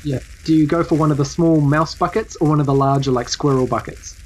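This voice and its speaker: A woman with a low voice and a New Zealand accent runs a tabletop game. Her narration is casual and improvised, with halting searches for the next phrase. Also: fairly even intonation, a little mellow, poor audio. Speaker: game master.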